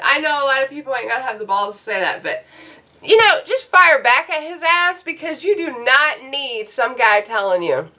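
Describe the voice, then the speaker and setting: A woman in her thirties rants to her viewers, blunt and profane, drifting loosely from one point to the next. Her voice is bright and a little high, and it sounds like she sits back from the mic. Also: very animated, moderate pace, distant mic. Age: 30s. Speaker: YouTuber. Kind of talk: casual advice rant to camera.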